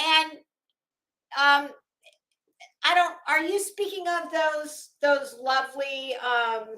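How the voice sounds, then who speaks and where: A woman's voice: on the high side, a bit bright. A middle-aged woman giving casual, opinionated commentary in a sassy, sarcastic manner, drawing out words for dramatic emphasis. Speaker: host of an online commentary show. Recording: roomy, echoing sound.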